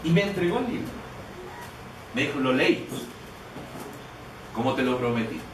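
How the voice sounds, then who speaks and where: A man's voice: mid-range voice, animated, moderate pace. A man preaching a sermon, telling a personal story in a conversational way with many restarts and repeated words. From Canada. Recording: echoey, distant, noisy room.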